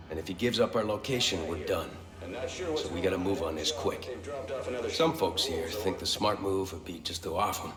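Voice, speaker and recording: A steady militia commander in a video game. The voice is fairly low and gruff, plain-spoken and folksy but in charge, ringing with hall-like reverb. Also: male voice. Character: gruff middle-aged male militia leader, steady and decisive. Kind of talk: video game cutscene dialogue.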